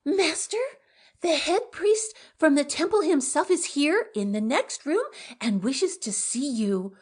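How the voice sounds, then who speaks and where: A woman playing an excitable, devout old housekeeper with a breathless, exclaiming manner in a dramatic audiobook. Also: high-pitched, takes it slowly. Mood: flustered excitement. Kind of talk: dramatic audiobook character reading.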